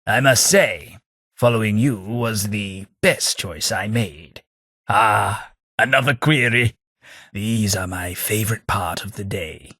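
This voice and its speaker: An actor playing a haughty, dry-witted old aristocratic ghoul in a video game, with a British accent, a raspy voice and a pompous, formal manner. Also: male voice, lowish voice, slow, sharp tone, dry recording, heavily acted. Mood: warm. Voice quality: raspy, gravelly. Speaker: video game companion character. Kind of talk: scripted video game voice acting.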